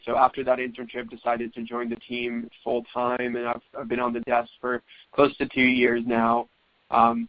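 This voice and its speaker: A man's voice: mid-range voice, no room sound. A young man from Venezuela in his twenties introducing himself on a webinar, often repeating words. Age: 20s. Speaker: webinar panelist, investment banking analyst. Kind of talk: casual panel introduction. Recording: dull dry lo-fi call audio.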